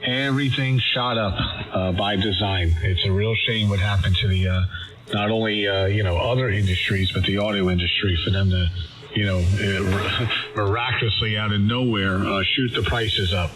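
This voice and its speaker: A man chatting casually over amateur radio in a hesitant, halting way. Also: lowish voice, steady tone, over music, low-quality recording.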